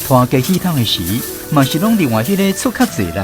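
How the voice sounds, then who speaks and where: A man's voice: fairly high pitch, sing-song, quick pace, music in the background. A man hosting and narrating a radio drama episode in a storytelling manner. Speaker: host and narrator.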